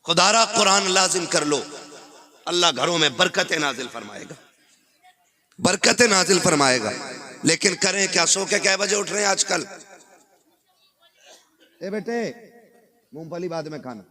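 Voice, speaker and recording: A man with a high, forceful voice and a North Indian accent delivers an impassioned Islamic sermon. He drives his points home with rhetorical questions and emphatic repetition, speaking as a cleric to a live gathering. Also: lively intonation, unhurried, long pauses. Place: live religious gathering with audience.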